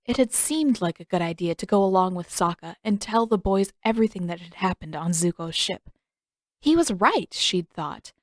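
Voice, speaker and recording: Animated woman narrating a story, dry sound. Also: mid-range voice, no echo. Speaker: fan fiction narrator. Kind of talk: expressive scripted story narration.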